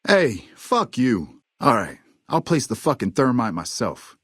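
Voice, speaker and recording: An actor playing a nervous, foul-mouthed smuggler and hustler in a video game, cocky but jittery. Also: male voice, medium pitch, sing-song, a little slow, breathy edge, dry recording, clean studio sound. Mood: defensive, fed up. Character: fast-talking, foul-mouthed male hustler, nervous smuggler under blackmail. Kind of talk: video game dialogue acting.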